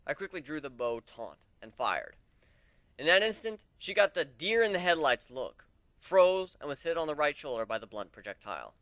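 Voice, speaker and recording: A man's voice: a little high, sing-song, moderate pace, a bit bright, no echo. A man narrating a first-person story as a dramatic reading.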